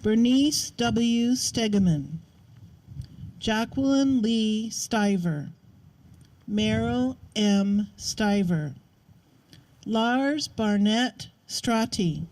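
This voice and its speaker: A woman reading out a list of names in a solemn, formal ceremonial style, measured and with pauses between each. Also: fairly high pitch, a little mellow, rough recording. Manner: measured, one name at a time with pauses. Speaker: name reader at a memorial ceremony.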